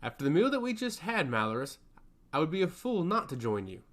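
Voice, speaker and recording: A man's voice: fairly high pitch, big pitch swings, fairly quick, a bit bright, voice pushed up. A man playing a composed young princess in a fantasy audiobook, earnest and reflective. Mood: musing. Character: thoughtful, composed young princess, calculating and sincere.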